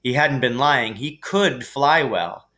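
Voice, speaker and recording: A man reading a children's novel aloud as expressive story narration, like a teacher reading to a class. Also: mid-range voice, takes it slowly.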